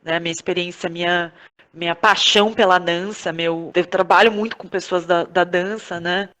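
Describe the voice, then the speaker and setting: Brazilian woman, halting and reflective. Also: on the low side, steady tone, fairly bright tone. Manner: halting, searching, thinking aloud, often seeking agreement with tag questions. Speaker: podcast guest, playwright, actress and director. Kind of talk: reflective conversational interview answers.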